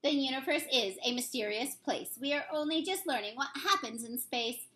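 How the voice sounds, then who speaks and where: Bright, high-voiced woman reading to kids. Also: moderate pace. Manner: sing-song, expressive storybook reading. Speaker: teacher reading a picture book aloud. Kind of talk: children's read-aloud.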